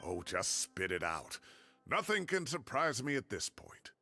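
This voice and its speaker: An actor playing a fussy, theatrical older professor, sympathetic yet exasperated, in an anime video game. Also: male voice, medium pitch, very animated, a little slow, pushed and bright, soft background music. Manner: theatrical, exclamatory.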